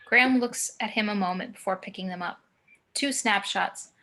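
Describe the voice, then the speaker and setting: Woman reading stage directions for a script read, bright clear-cut tone, average pitch. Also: moderate pace. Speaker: narrator of screenplay action. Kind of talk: scripted narration, screenplay table read.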